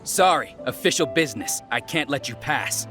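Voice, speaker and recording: An actor playing a stern, officious government guard in a game cutscene. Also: male voice, medium pitch, expressive, normal speed, music in the background, pitched below the performer's own voice, natural voice. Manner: curt, officious.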